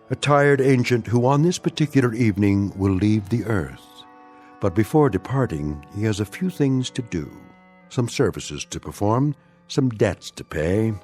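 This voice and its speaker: An ominous radio drama narrator with a deep voice. He is measured, wry and portentous, moving his pitch expressively over faint music. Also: a little mellow. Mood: grave, portentous. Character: ominous, grave, omniscient male storyteller.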